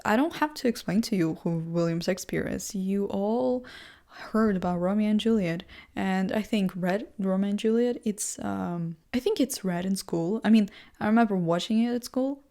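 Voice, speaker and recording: Chatty young woman thinking out loud. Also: medium pitch, normal speed. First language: not English. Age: young adult. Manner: chatty, self-deprecating, full of fillers and restarts. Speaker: vlogger. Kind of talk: casual rambling monologue. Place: seated indoors in a chair.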